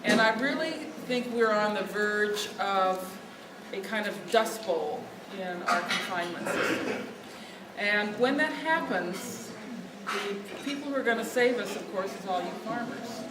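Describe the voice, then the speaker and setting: A woman with a quiet voice giving a speech without a microphone, speaking earnestly to lift her voice over the room. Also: medium pitch, animated, unhurried, very noisy. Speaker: speaker at a lobby day. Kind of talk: outdoor speech without a mic. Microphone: no mic, picked up from a distance. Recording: distant echoey recording with loud ambience.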